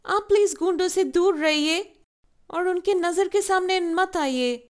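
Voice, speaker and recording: A woman's voice: very high-pitched, a little slow. A woman voicing a businesslike, formal senior policewoman in a children's doll story. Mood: official, concerned. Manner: formal, official, urgent.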